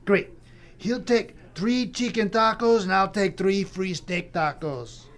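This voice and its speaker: A man's voice: high voice, takes it slowly, a bit bright. A man playing Death as a brash, glib, freeloading cousin who rambles in bro talk in an audio comedy drama. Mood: smug, pitching.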